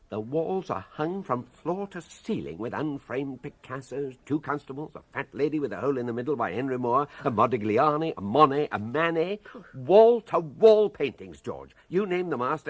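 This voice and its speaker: A genteel older English gentleman, shrewd and urbane. His formal sentences rise and fall very widely, and the sound is close and dry, as in a radio comedy. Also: mid-range voice, big pitch swings, no room sound. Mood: thoughtful, confiding. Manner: urbane, unhurried, formal phrasing. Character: genteel, shrewd old Englishman, a prisoner running culture classes. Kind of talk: British radio comedy drama acting.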